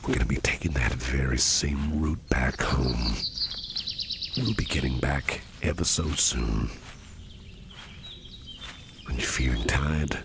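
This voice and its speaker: A man playing a caring, protective businessman boss in a gentle ASMR roleplay, speaking softly and reassuringly, often breathy and near a whisper. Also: rumbling low, background noise, heavily acted. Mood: teasing, protective. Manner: gentle, reassuring, unhurried, repeats phrases softly. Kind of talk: ASMR roleplay, soft personal attention.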